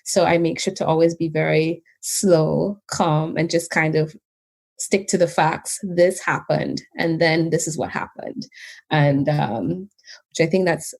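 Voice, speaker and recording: Soft-voiced, gravelly Trinidadian-Canadian woman. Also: low-pitched. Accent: Trinidadian mixed with Canadian. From Trinidad and Tobago. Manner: calm and measured, laughs often. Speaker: guest, behaviour analyst. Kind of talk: casual interview conversation.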